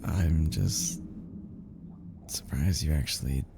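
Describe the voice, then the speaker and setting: A man playing an obsessive, lovesick young kidnapper in an intimate ASMR roleplay, speaking haltingly with stammers, breathy whispers and sighs. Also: very deep voice, noisy background, heavily acted. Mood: yearning, tender.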